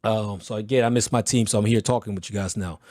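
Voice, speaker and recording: A man with a hoarse, recovering voice teaching a live trading mentorship session, talking in a loud, blunt, slangy, motivational way. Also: lowish voice, steady tone, quick pace, no room sound, studio quality. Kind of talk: livestreamed mentorship talk.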